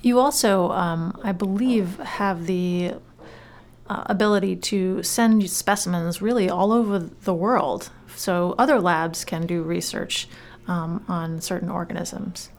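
A woman hosts an interview in a conversational way. Her voice sits on the low side, with plenty of vocal fry in it. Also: a little slow. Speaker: talk show host.

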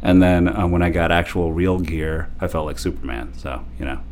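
A man in his forties from Japan, a DJ guest telling stories in an animated, laughing way with lots of fillers. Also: low-pitched, moderate pace, a little hiss or hum. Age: 40s. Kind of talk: casual interview storytelling.

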